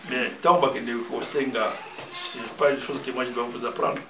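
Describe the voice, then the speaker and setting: Middle-pitched man from Kinshasa, rambling advisor, distant mic in a noisy room. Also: fairly even intonation, moderate pace. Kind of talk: advice at a family gathering. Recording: echoey room, distant mic, background noise.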